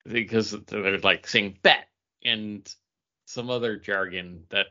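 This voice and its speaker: A man's voice: fairly low pitch, steady tone, takes it slowly, bright, forceful tone, lo-fi sound. A man co-hosting a comic book podcast in casual chat, with a wry, self-mocking way of talking.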